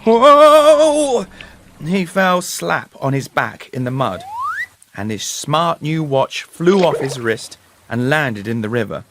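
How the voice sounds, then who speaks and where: A man reading a children's story in a measured, deliberate, dramatic way. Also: mid-range voice, lively intonation, a bit bright, slight background noise. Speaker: story narrator. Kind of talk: expressive scripted storybook narration for learners.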